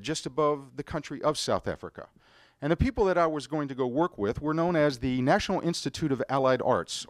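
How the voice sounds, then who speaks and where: A middle-aged man with an American accent telling a rehearsed personal story on stage, with theatrical, deliberate phrasing. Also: fairly high pitch, no room sound, studio quality. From United States. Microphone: stage microphone on a stand.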